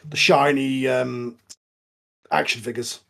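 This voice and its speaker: A man's voice: medium pitch, little pitch movement, slow, vocal fry, fairly bright tone. A man chatting casually through a film commentary with a wry, joking manner. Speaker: audio drama writer on cast commentary track.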